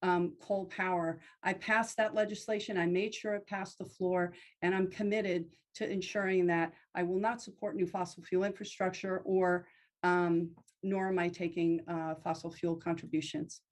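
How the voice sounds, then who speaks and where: Lower-pitched American woman, emphatic political speaker. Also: little pitch movement, normal speed. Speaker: congressional candidate. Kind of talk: semi-extemporaneous debate answer.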